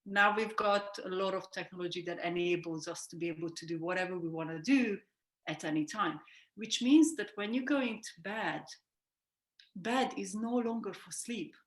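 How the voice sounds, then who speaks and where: A woman's voice: lowish voice, normal speed. A woman, a sleep coach explaining ideas in an earnest, reflective way and sometimes correcting herself mid-sentence. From Hungary. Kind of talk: explanatory interview talk. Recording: roomy echo.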